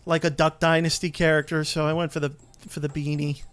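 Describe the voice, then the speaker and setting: A man's voice: on the high side, flat delivery, a little fast, a bit bright, clean tone. A man giving casual gameplay commentary as a let's play host, easygoing and a bit hesitant as he explains.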